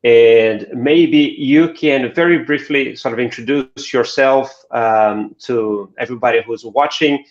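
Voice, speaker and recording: A man's voice: medium pitch, measured pace, hardly any pauses. A man hosting a live webinar over a video call, speaking hesitantly with frequent fillers and repeats. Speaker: host. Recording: dull echoey video call audio.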